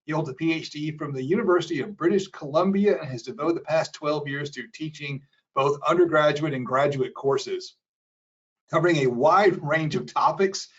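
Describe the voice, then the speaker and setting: A man's voice: a little high, normal speed. A man moderating a webinar, easygoing and stumbling over a few phrases as he introduces the speaker. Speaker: moderator, associate professor. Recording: dull lo-fi webinar audio.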